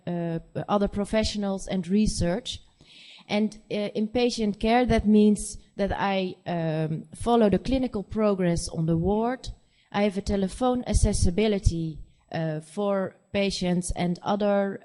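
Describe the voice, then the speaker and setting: A woman with a Dutch accent, a nurse practitioner giving a conference presentation in English, her second language, speaking haltingly with many fillers. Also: mid-range voice, warm tone. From Netherlands. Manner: hesitant, halting speech with frequent fillers.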